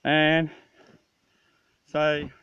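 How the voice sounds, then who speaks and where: Casual Australian male, joking and foul-mouthed, forceful tone, handheld outdoor recording with background noise. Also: a little high, completely flat delivery, drawn-out words, dull audio. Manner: laid-back, sweary, slangy, joking. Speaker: camper filming a campsite tour. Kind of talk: casual handheld video tour. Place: outdoors at a bush campsite. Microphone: handheld phone or camera.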